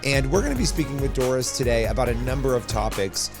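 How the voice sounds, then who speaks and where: A man hosting an interview podcast, speaking earnestly, his voice slightly hoarse. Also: mid-range voice, sing-song, fast, music in the background. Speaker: podcast host.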